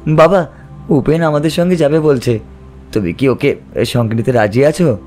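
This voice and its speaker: A man's voice: fairly high pitch, moderate pace, a little mellow, soft background music, close to an everyday voice. A man playing a keen, questioning young village boy in an audio story. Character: keen village boy asking his father. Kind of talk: Bengali audio story character dialogue.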